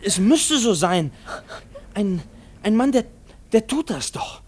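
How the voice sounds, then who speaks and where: A man's voice: high voice, very animated, takes it slowly, slightly rough, heavily acted. An actor playing an anxious, conscience-stricken young railway man in a radio play, speaking in pleading, broken phrases. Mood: distraught, pleading. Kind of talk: German radio play acting.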